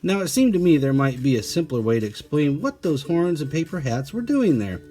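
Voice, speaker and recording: A man's voice: on the high side, big pitch swings, fairly quick, dark tone, soft background music. A man narrating a children's storybook expressively, as a teacher reading aloud to young listeners.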